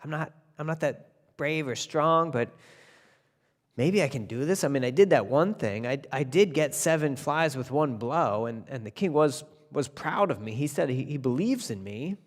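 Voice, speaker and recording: A man's voice: mid-range voice, lively intonation, moderate pace, big-room sound, natural voice. A man voicing a timid, panicky tailor who stammers and trips over his words. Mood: nervous. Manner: stammering, flustered. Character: panicky humble male tailor.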